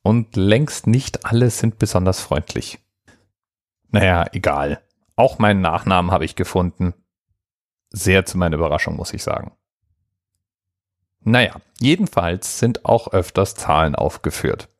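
Low-voiced man hosting a dry-sounding podcast. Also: lively intonation, unhurried, long pauses, no echo. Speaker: podcast host. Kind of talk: casual explanatory podcast monologue.

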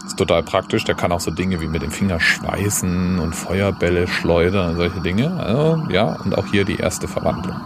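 A man's voice: low-pitched, moderate pace, hardly any pauses, heavy background noise. A man hosting a podcast with casual, chatty commentary and a wry, tongue-in-cheek manner. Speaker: podcast host.

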